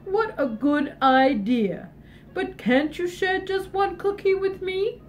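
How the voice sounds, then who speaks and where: Woman doing a sneaky greedy storybook wolf, low drawn-out voice, dragging every word. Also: lively intonation, a little hiss or hum, exaggerated voice. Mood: scheming. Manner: drawn-out, sly, lower voice. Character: big bad male wolf, sly and greedy.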